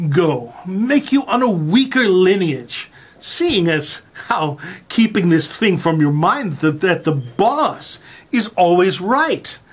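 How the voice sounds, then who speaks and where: This is a slow-paced presenter with a deadpan, mock-serious delivery. The speech is halting and hesitant, as if each phrase is being weighed while reading aloud to listeners. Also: mid-range voice.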